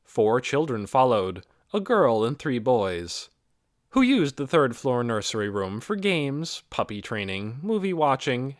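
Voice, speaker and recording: A man reading a story aloud as an audiobook narrator, in an expressive, measured way. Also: mid-range voice, no room sound, studio quality. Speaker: audiobook reader.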